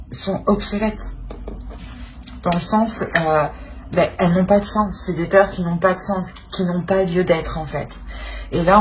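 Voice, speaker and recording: A woman giving an informal tarot reading, speaking haltingly as she searches for words and often clears her throat. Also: fairly low pitch, slight background noise. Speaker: tarot reader.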